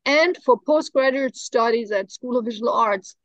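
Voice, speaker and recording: A woman's voice: on the high side, normal speed, no echo, highs cut off. A middle-aged woman, from Germany, chatting as a guest in an interview, playful, joking and laughing often. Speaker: guest, stop motion animator. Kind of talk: casual interview chat.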